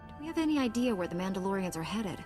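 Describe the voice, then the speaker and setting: An actress plays a capable female Jedi knight, the hero of a video game. She has a medium-pitched voice and speaks with direct assurance. Faint music plays behind her. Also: big pitch swings, racing, lightly acted. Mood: confident. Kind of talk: scripted game dialogue.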